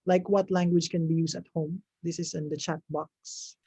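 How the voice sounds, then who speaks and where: Husky man on a dull call. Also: on the high side, flat delivery, moderate pace, dark tone, no room sound. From Philippines. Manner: polite, hesitant. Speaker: event moderator. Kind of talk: moderating an online Q&A. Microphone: video call. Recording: dull lo-fi video call audio.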